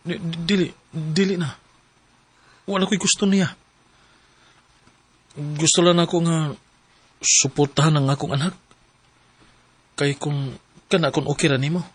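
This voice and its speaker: A man's voice: on the high side, fairly even intonation, unhurried, long pauses, slightly rough. An actor playing a hesitant young boyfriend who stammers as he confesses to his partner in a radio drama. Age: young adult.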